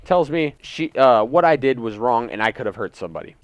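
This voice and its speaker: Young male, very animated pitch swings, dry close sound, indignant, sweary gaming storyteller. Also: fairly quick, no echo. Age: teens to young adult. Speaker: gaming YouTuber. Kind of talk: casual story time over gameplay. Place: indoors at home.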